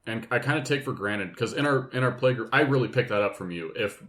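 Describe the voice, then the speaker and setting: A man talks as a podcast co-host in loose, informal group chat. His voice sits at an average pitch, and his speech is slangy, with swearing thrown in. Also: expressive, quick pace, fairly bright tone.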